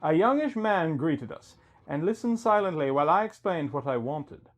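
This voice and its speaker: A man's voice: fairly high pitch, lively intonation, normal speed. A man reading a scripted first-person story as its narrator. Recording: music bed under the voice. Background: music.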